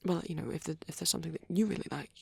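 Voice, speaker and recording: A man playing a shy, devoted vampire boyfriend in an intimate ASMR roleplay, gentle, hesitant and stammering, with a breathy voice. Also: on the high side, rapid-fire, no echo, exaggerated voice. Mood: bashful, tender. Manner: hesitant, stammering, soft and rambling.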